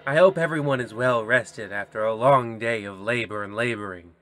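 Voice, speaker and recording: A man's voice: medium pitch, moderate pace, fairly bright tone, slight background noise, close to an everyday voice. A man playing a gleefully macabre horror radio host, theatrical and mock-ominous, parodying old-time radio.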